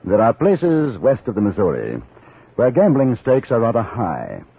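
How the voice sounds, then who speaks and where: An actor with an English accent playing a wry, unruffled gentleman reporter and gunman in a 1950s radio drama. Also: mid-range voice, huge pitch swings, moderate pace, gravelly, slightly rough, heavily acted. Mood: measured, wry. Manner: urbane, understated, wry. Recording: tinny band-limited 1950s radio, music behind.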